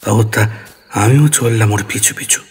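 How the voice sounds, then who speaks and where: A man's voice: fairly low pitch, moderate pace, slightly rough. A man narrating a ghost story in the first person, dramatically, acting out his own dialogue.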